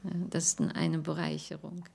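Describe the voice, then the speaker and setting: A woman in an interview speaking in a thoughtful, measured way. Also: low voice, little pitch movement, creaky, darkish tone, breathy edge.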